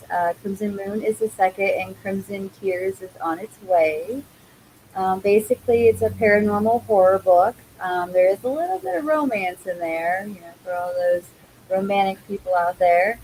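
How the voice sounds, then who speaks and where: A woman's voice: mid-range voice, normal speed, darkish tone, rough recording. A woman introducing herself as an author in a friendly, slightly hesitant panel chat. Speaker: author guest.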